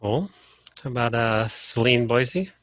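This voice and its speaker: A man from Colorado leading a casual online class over a video call, relaxed and full of "uh" fillers. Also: mid-range voice, measured pace. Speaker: course instructor.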